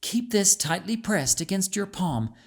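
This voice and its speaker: Man voicing Calixta, a bossy girl, raised pitch. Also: big pitch swings, a little slow, gravelly, exaggerated voice. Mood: urgent, instructing. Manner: firm, instructing storybook dialogue. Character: young girl Calixta, confident bossy friend.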